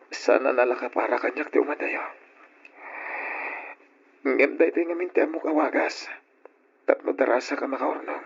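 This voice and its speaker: A man's voice: medium pitch, vocal fry, lightly acted. A man playing a lovesick, worried young nephew working abroad in an old radio drama, with a plaintive Filipino accent. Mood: hurt, resigned. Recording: dull old radio recording, music behind.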